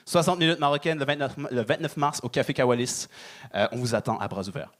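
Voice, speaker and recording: A man's voice: mid-range voice, animated, fast talker, a bit bright. A man doing conversational stand-up and banter in a hesitant manner. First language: Arabic-speaking family, says he speaks French imperfectly. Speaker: stand-up comedian performing on a show.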